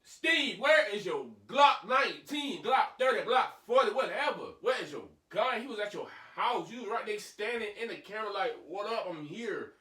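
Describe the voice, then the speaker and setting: Male reaction host, slangy and animated, higher bright voice, roomy sound away from the mic. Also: moderate pace, hardly any pauses, far from the mic. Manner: slangy, animated, talking to viewers. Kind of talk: casual reaction commentary.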